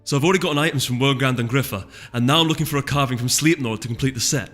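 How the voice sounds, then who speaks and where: A man's voice: mid-range voice, very fast, a bit bright, lightly acted. A man performs a young female storybook pony with a Scottish accent. She is bubbly, adventurous and eager to chatter, set in long reverb over music. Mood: excited, gushing.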